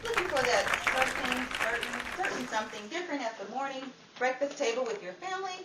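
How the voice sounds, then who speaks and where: A woman playing a salesy 1950s pitchwoman reading an old-time radio commercial. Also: animated, a little fast, over a noisy crowd. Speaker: commercial announcer. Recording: roomy, distant mic with background noise.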